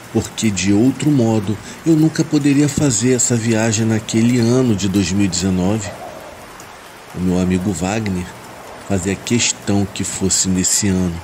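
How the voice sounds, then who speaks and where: A man with a Brazilian accent reading a scripted first-person horror story as a narrator. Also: on the low side. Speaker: narrator of listener-submitted horror stories. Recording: steady rain noise behind the voice. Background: rain ambience.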